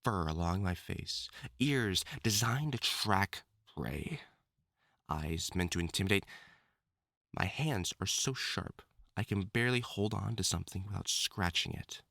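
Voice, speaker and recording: A man's voice: low-pitched, lively intonation, creaky, fairly bright tone, no room sound, clean studio sound, exaggerated voice. A man playing a theatrical male wolf-mutant supervillain in love, teasing and wounded, in a scripted audio roleplay spoken to the listener. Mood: self-loathing, tender. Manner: theatrical, taunting, dramatic swoops and pauses.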